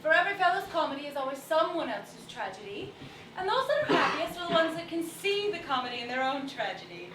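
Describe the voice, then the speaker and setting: An actress playing a cheerful, witty young Victorian daughter in a stage play, playful and articulate. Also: female voice, high-pitched, very animated, quick pace. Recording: echoey stage, distant mic, faint noise.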